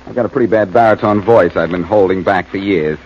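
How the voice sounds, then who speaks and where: A man's voice: deep voice, quick pace, a little hiss or hum, natural voice. An actor playing a suave, wisecracking male detective in a 1940s radio drama, teasing and easygoing. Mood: wry. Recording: dull lo-fi old radio broadcast.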